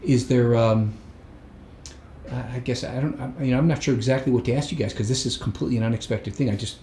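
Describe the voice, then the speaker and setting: A man interviewing volunteers at a charity kitchen, rambling and halting through his questions with many restarts. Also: mid-range voice, dark tone, a little hiss or hum. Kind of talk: casual on-site interview. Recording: echoey room with faint ambience.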